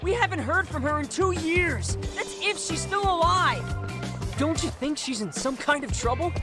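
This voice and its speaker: A woman's voice: very high-pitched, lively intonation, normal speed. A woman with an American accent voicing a cocky, scrappy teenage boy with slangy speech in a video game dub. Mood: insistent. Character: brash, scrappy teenage boy with a smart mouth. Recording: music behind the voice. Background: game music.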